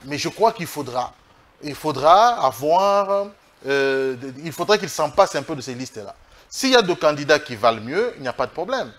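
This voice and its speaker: Higher-voiced Congolese man arguing emphatically. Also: sing-song, moderate pace, a bit bright. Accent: Congolese French. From Democratic Republic of the Congo. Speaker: political guest, lawyer. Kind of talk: political interview, spoken argument.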